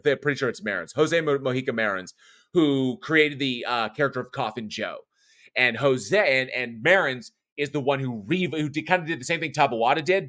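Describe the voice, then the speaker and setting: Bright-voiced rambling American man. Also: mid-range voice. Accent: non-regional American. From United States, born in California. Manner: rambling, often restarts and corrects himself. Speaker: horror show host and voice actor. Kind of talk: casual live-stream chat, reading viewer comments.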